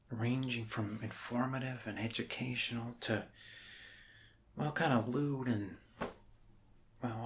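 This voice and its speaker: A man with an American accent playing a friendly, rambling doctor in a soft-spoken ASMR roleplay, halting, reassuring and dryly jokey. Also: mid-range voice, slight background noise. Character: friendly, rambling human doctor welcoming an alien to Earth.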